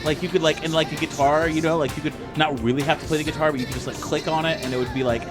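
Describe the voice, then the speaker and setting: A man who talks in a rambling, excitable, profane way as a player in a casual tabletop role-playing game. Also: fairly high pitch, rapid-fire. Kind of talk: casual improvised tabletop role-play chat. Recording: music behind the voice. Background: music.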